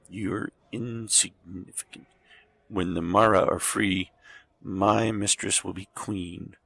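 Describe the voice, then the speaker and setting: A smug, villainous doctor devoted to a demon in an audio drama. His voice is low and heavily creaky, with a husky rasp. He speaks slowly and pompously, with menace in every line. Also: pushed and bright, heavily acted. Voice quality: gravelly, husky.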